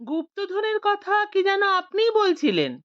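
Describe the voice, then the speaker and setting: A woman's voice: extremely high pitch, big pitch swings, a little slow, fairly bright tone, no room sound, pitched above the performer's own voice, exaggerated voice. Woman doing a bossy old ex-cop, talks like he's in charge, dull dry sound. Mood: brusque. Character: retired village police officer, older man, brusque.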